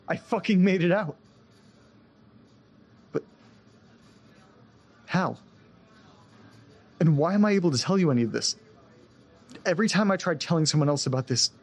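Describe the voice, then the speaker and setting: Man doing a jittery haunted-house guy, raspy gravel, keeps stammering and restarting. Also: on the high side, darkish tone. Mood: frantic. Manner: shaken, halting, repeats words. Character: anxious young man fleeing a haunted house. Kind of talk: audio drama acting.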